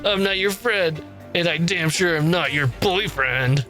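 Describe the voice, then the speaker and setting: A man's voice: a little high, unhurried, pushed and bright, faint music behind. A man voicing a brash, domineering young male vampire hunter in a visual novel, blunt and bossy.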